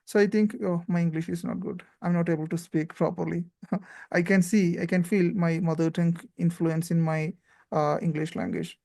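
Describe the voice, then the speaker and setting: A man with an Indian accent hosts a relaxed chat, his voice mellow and dark. He talks in encouraging bursts, full of "you know" and "right?". The sound is close and dry. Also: high voice, gravelly, no room sound. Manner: frequent fillers and tag questions, encouraging. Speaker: host. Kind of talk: casual interview chat.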